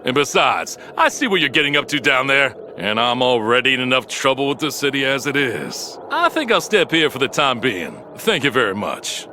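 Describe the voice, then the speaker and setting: An actor playing an excitable, jumpy pirate-radio DJ who talks in a showy, theatrical announcer style. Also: male voice, medium pitch, normal speed, few pauses, a little hiss or hum. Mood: gently declining. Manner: animated, chatty, showy. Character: excitable, jumpy male pirate-radio DJ living alone on a moon base. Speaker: radio DJ and narrator.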